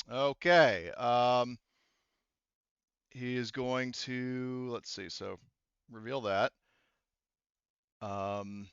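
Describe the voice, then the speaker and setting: A man running an online tabletop roleplaying game as game master, thinking aloud in a halting way over a headset mic. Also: lowish voice, gravelly, a bit bright, clear, resonant tone, dry recording, muffled recording. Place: online voice session.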